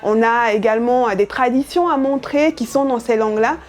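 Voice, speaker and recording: A woman's voice: a little high, fairly quick. A young woman whose first language is Yoruba, speaking earnestly and thoughtfully about her work as a journalist, with frequent hesitant fillers. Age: young adult. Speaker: journalist and editorial project lead.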